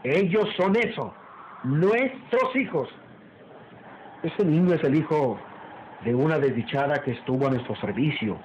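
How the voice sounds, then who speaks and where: An actor playing a stern, proud master of the house in an old radio drama, speaking formally and emphatically. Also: male voice, on the high side, animated, measured pace, long pauses, darkish tone. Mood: stern, lofty. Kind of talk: old Latin American radio drama acting. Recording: muffled old radio serial, background noise.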